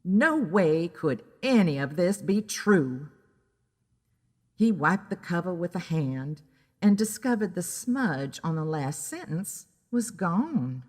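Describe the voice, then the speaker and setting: A woman reads pulp fiction as a narrator. Her voice sits slightly low and moves slowly, ringing in a long reverberant space. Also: sing-song. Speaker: story narrator.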